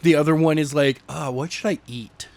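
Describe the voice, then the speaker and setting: A man's voice: on the high side, fairly even intonation, brisk, vocal fry, a loud crowd. A man co-hosting a podcast in casual banter, with a teasing way of talking. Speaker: podcast co-host, chapter narrator.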